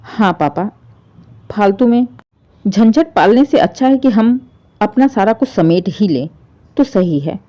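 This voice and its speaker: A woman's voice: medium pitch, expressive, a little slow, long pauses, warm tone, natural voice. Woman voicing a selfish, insistent grown son, muffled. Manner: insistent, complaining. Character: selfish adult son pressing father for inheritance. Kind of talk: dramatised Hindi moral story. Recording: dull, muffled lo-fi audio.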